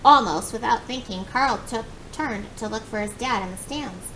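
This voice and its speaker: A woman reading a children's story aloud in an animated, expressive way, acting out the dialogue. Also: moderate pace, low-quality recording. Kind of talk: animated children's book narration. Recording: clear background noise with low rumble.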